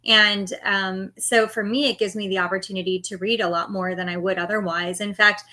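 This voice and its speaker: A woman's voice: mid-range voice, fairly even intonation, brisk, sharp tone. A middle-aged woman being interviewed as an author, answering candidly and laughing easily.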